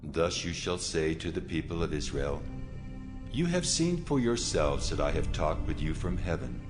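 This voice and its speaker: A man playing a solemn, commanding God in dramatized scripture audio, speaking with measured authority. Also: a bass voice, very animated, exaggerated voice. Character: God, solemn commanding male deity giving laws. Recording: music under the voice.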